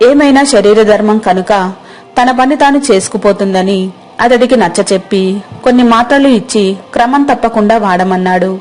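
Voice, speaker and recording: A woman narrates a moral tale from a script. Her voice sits at a middle pitch, in storytelling style. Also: moderate pace, a little mellow, poor audio.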